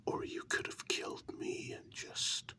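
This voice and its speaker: A performer whispering slowly and breathily in an ASMR role-play, playing a gentle, candid human confiding in a vampire partner. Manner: slow, hushed, intimate, with pauses.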